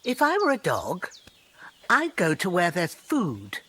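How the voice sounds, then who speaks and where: A woman's voice: fairly low pitch, wildly swooping pitch, a little slow, fairly bright tone, slight background noise, heavily acted. An actress playing a practical, matter-of-fact village herb woman in video game dialogue. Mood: helpful, matter-of-fact.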